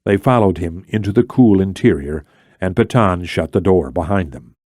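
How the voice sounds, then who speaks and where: A man's voice: low-pitched, normal speed, a little mellow, no echo, clean studio sound. A man reading scripted thriller narration as an audiobook narrator.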